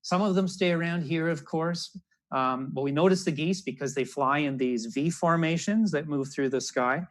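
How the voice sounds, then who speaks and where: A man's voice: on the high side, normal speed. A man, giving an educational talk for children as a naturalist over a video call. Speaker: naturalist, storyteller. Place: room at home, indoors. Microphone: wired earbuds on a video call.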